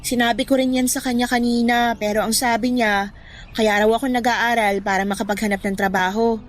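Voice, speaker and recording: A woman's voice: fairly high pitch, fairly even intonation, brisk, lightly acted. A woman playing a young best friend in a radio drama. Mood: troubled. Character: playful, teasing young woman, boy's childhood best friend.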